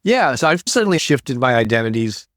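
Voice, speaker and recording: A man's voice: medium pitch, sing-song, brisk, fairly bright tone, no echo. Encouraging man coaching in a dry, close recording. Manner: encouraging, conversational, addresses listener directly. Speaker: motivational creator and host of an online show. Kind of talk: informal motivational coaching talk to an online audience.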